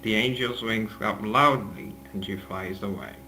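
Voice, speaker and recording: A man's voice: lowish voice, unhurried, bright, forceful tone, poor audio. A man reading scripted narration aloud as the narrator of a table read, with music playing behind him.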